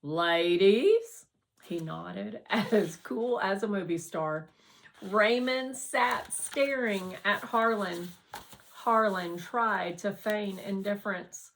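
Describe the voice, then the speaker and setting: A woman's voice: medium pitch, slow pace, gravelly, fairly bright tone. A woman reading a children's novel aloud as scripted narration. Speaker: audiobook reader.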